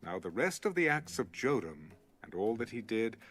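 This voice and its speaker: A man narrating an audio Bible in a measured, dramatic storytelling manner. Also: on the low side, very animated, a bit bright, faint music behind. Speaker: scripture narrator.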